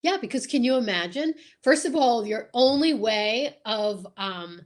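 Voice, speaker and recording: A woman's voice: fairly high pitch, lively intonation, a little slow. A woman hosting a casual live chat, speaking in an enthusiastic, emphatic way and often repeating words for stress. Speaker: host of a radio drama group's live chat.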